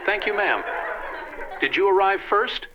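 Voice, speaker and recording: An eager police detective played by a man with a lively, slightly high voice and deadpan politeness. It sounds like a dull, band-limited radio drama recording. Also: a little slow, a little hiss or hum. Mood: businesslike. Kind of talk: old-time radio detective acting.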